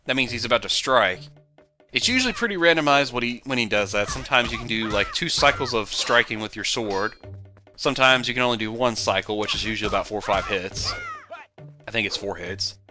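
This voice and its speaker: A man's voice: medium pitch, lively intonation, brisk. Chatty man commenting over game music. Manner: chatty, jokey, rambling, with loud whoops. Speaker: let's play commentator. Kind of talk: casual gameplay commentary.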